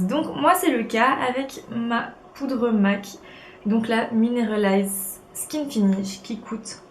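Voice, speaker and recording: A woman's voice: fairly high pitch, darkish tone. A young woman with a cold, sniffling as she chats bubbly and casually with many fillers through a beauty tutorial at home. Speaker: beauty vlogger. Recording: echoey room with faint noise.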